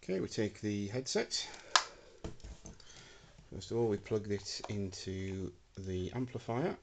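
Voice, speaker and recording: A man's voice: fairly low pitch, takes it slowly, very noisy. Hesitant man demoing gadgets. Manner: hesitant, many ums, talking while handling gear. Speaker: hobbyist prop maker. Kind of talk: casual product demonstration. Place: indoor room with a wooden floor. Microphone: handheld camera mic.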